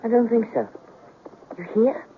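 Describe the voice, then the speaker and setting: A woman's voice: on the high side, sing-song, measured pace. A woman playing an anxious, questioning traveller in a 1970s radio drama. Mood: alarmed. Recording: tinny radio broadcast with clear noise.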